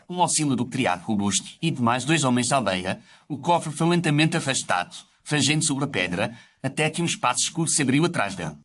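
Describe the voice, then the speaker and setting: A man's voice: mid-range voice, animated, brisk, hardly any pauses, a bit bright. Male story narrator, noisy recording. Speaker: narrator telling the story as a doctor character. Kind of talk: scripted audio story narration.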